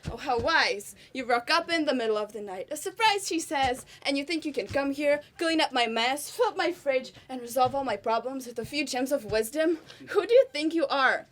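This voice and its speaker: A young woman acting a depressed, lovesick and defensive sister in an amateur stage play, halting and emotional. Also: high-pitched, lively intonation, a bit bright. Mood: bitterly sarcastic. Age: teenager or young adult. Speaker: student actor in a stage play. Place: indoor stage performance. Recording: noisy live stage recording with clicks.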